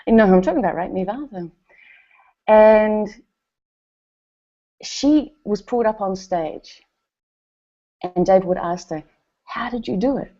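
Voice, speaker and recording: Animated New Zealand woman speaker. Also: mid-range voice, unhurried, long pauses, muffled recording. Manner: animated storytelling with quoted voices. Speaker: speaker at a company event. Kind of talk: motivational speech.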